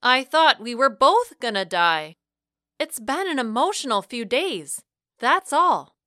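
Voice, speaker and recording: A woman voicing a stubborn, sharp-tongued young woman in love and hurt, in emotional audiobook dialogue. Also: high-pitched, very animated, slow pace, no room sound, clean studio sound, pitched above the performer's own voice, exaggerated voice. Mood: defensive, angry. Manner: emotional, blunt, argumentative.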